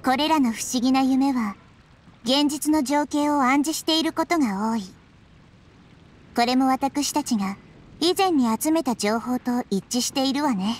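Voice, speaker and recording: A woman voicing a gentle, wise, childlike girl deity in an anime video game, speaking in a measured, explanatory way. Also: high-pitched, a little hiss or hum. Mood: calm, thoughtful. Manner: measured, soft-mannered, explanatory.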